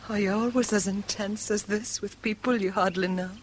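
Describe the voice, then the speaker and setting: A guarded, alluring young mistress played by a woman in a vintage British radio play. She sounds intimate and questioning, at a medium pitch, with faint noise behind her. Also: fairly even intonation, normal speed, lightly acted. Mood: guarded, searching. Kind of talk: 1970s British radio drama acting. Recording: faintly noisy old radio recording.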